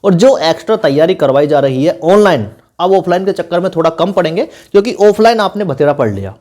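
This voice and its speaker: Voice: medium pitch, expressive, quick pace, darkish tone. A speaker giving informal exam coaching advice as an online teacher, in an instructive, repetitive way with frequent check-in tags.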